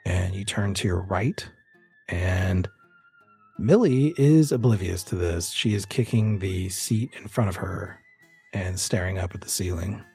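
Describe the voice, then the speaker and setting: A man narrating a tabletop horror audio drama as game master, in measured, deliberate phrases. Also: low-pitched, vocal fry, darkish tone, slightly rough. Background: music bed.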